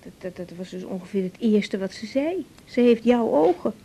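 Young mom in an 80s radio play, soft mellow voice, lively, keeps stumbling over words. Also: female voice, fairly high pitch, normal speed, a little hiss or hum. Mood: guilty, unsettled. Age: young adult. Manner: hesitant, repeats words. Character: young adoptive mother, tender, hesitant, guilt-ridden. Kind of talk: 1980s radio drama acting.

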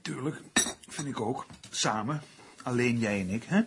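A man's voice: mid-range voice, a little slow, noisy background, close to an everyday voice. An actor in a radio drama playing a dry, unruffled male writer who is understated and conciliatory. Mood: casual, mild.

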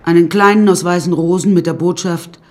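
A woman's voice: on the low side, fairly even intonation, brisk, natural voice. An actress playing a wry woman who recounts her death-strewn childhood in a radio play, dry and confiding. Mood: darkly comic. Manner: dry, darkly comic, confiding.

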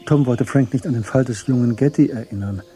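A man narrating a scripted radio drama in measured literary prose. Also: mid-range voice, warm tone, faint music behind.

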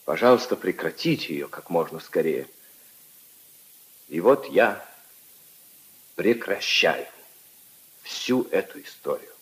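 An actor playing a lovelorn minor official, resigned, earnest and polite, in a solemn 1950s radio drama style. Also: male voice, low voice, expressive, takes it slowly, long pauses, a bit bright, heavily acted, poor audio. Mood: resigned sorrow.

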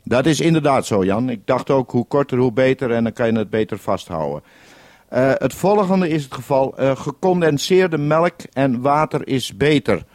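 Male, mid-pitched voice, dry close sound, instructive radio operator style, step-by-step directions, 1970s broadcast recording. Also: animated, moderate pace, darkish tone, no room sound. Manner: instructive, ends turns with radio procedure word. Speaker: radio programme maker on the mainland. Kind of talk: two-way radio conversation giving step-by-step instructions.

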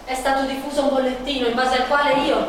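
An actress playing an anguished, defiant woman painter in a theatrical monologue, dramatic and shifting between hushed murmurs and cries. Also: high-pitched, little pitch movement, quick pace, natural voice. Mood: bitter, furious. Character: Frida Kahlo, anguished, defiant, passionate woman painter in pain. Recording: echoey, distant, noisy stage recording.

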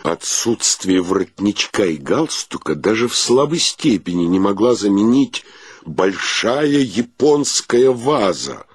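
An actor narrating a wry comic period tale in a radio play, in a measured, dramatic storytelling manner. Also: male voice, fairly low pitch, big pitch swings, husky, no room sound, full character voice.

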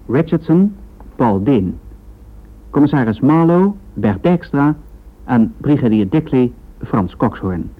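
A man working as a radio drama announcer, reading scripted credits in a formal, deliberate way. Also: mid-range voice. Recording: old narrow-band radio recording.

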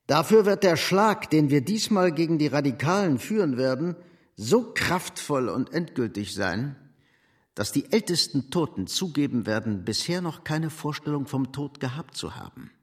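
An actor playing a hard, boastful political commander in a German radio drama, clipped, assured and emphatic. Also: male voice, a little high, sing-song, normal speed, slightly rough. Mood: resolute.

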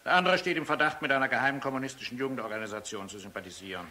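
An actor playing a hard-nosed, sceptical police commissioner in a radio play, with an officious, emphatic delivery. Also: male voice, mid-range voice, racing, sharp tone, lightly acted. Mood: officious, scornful.

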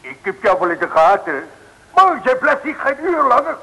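An actor playing an angry, stubborn innkeeper in a radio comedy serial, scolding and blustering. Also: male voice, extremely high pitch, sing-song, moderate pace, creaky, pushed and bright, heavily acted. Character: angry, bullying male innkeeper, indignant and stubborn. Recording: reverberant old radio recording.

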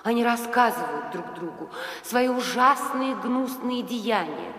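Sorrowful owl princess, slow and echoing. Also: female voice, a little high, lively intonation, fairly bright tone. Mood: earnest, pleading. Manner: solemn, theatrical storytelling. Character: sorrowful enchanted owl princess, young, plaintive and courtly. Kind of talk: audio fairy-tale drama. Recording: echoey reverberant hall, music underneath.

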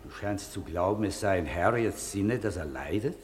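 A fatherly judge in an old radio drama. His voice is on the low side, and he questions with gentle, patient formality. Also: lively intonation, moderate pace, a little hiss or hum. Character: calm, fatherly male judge questioning in court. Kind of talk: 1950s German radio drama acting.

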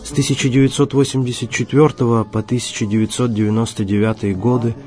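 A man presenting a solemn radio program as host, in a measured, formal way with deliberate phrasing. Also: mid-range voice, flat delivery, a little mellow. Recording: music behind the voice. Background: background music.

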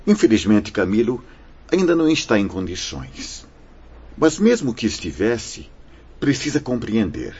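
Husky, deep, kindly priest spirit. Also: male voice, big pitch swings, darkish tone, heavily acted. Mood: firm. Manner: measured, solemn, poetic. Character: Brother Miguel, kindly solemn priest spirit from Portugal. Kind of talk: radio drama storytelling. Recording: dull lo-fi, faint music behind.

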